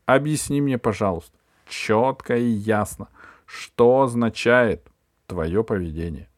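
A man voicing an exasperated mother who scolds her son in a sharp, raised voice. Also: on the low side, a little slow, no room sound, clean studio sound, natural voice.

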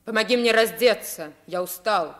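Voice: mid-range voice, normal speed, a bit bright, lightly acted. A performer playing a haughty, indignant young boy prince in a 1950s radio play, imperious and solemn. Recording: vintage 1950s radio recording.

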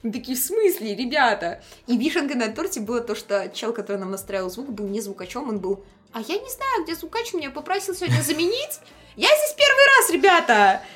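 Woman w/ highish voice, musician being interviewed, casual slangy storytelling, does the other peoples lines expressively. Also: brisk. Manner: expressive, acts out quoted dialogue, slangy. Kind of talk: casual chat, lively anecdotes.

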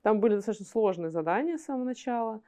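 A woman's voice: on the high side, animated, very fast, dark tone. A woman being interviewed as a guest, explaining things conversationally and often rephrasing herself mid-sentence. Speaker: interview guest from an animation studio.

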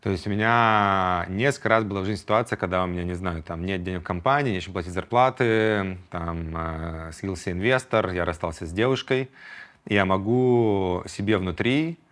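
A man's voice: low-pitched, normal speed, fairly bright tone. A man in his thirties hosting a solo podcast, talking reflectively and acting out his inner voices in quoted asides. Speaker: podcast host.

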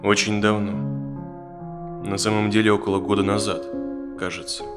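An actor plays a male therapy client in his thirties in an audio drama. His voice is fairly low, and he talks haltingly and reflectively, a little uneasy. Music is clearly audible behind him. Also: flat delivery, natural voice. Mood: hesitant, uneasy.

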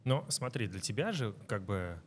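A man's voice: on the low side, expressive, normal speed, slight background noise. A man hosting a casual podcast interview, asking chatty, rambling questions. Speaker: podcast host.

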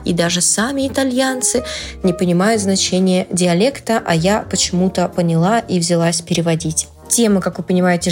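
A young woman from Russia, a translator, explaining things in a casual, playful vlog with slangy asides and animated emphasis on key words. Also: lowish voice. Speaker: translator and Italian language blogger. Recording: music playing loudly under the voice. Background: background music.

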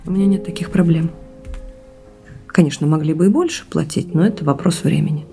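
A woman's voice: deep voice, a little fast, gravelly, warm tone, faint music behind. An actress playing a caring, practical wife in naturalistic audio drama, probing and supportive in how she talks. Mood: practical, persuasive.

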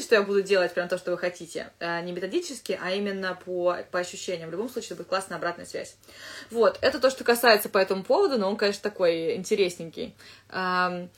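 A woman English teacher giving casual advice to fellow teachers, thinking aloud with lively asides. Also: mid-range voice.

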